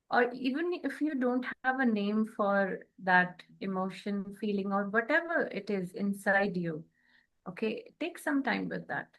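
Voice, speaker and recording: Slow, deliberate woman guiding listeners. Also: medium pitch, gravelly, fairly bright tone, poor audio. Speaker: co-host of a spiritual wellness show. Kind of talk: instructional spiritual talk to an audience.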